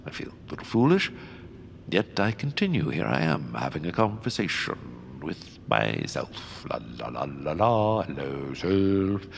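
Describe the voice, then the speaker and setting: A man's voice: a bass voice, sing-song, a little slow, creaky, slight background noise, full character voice. A man voicing a pompous, vain, foolish stage ham in an audio cartoon, talking in a self-absorbed way. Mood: pompous, sheepish. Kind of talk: audio fiction cartoon acting.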